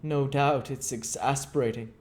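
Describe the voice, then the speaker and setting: A man voicing a guarded, educated gentleman in a storybook, speaking in a measured, dry and probing way. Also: medium pitch, fairly even intonation, voice pushed up, natural voice.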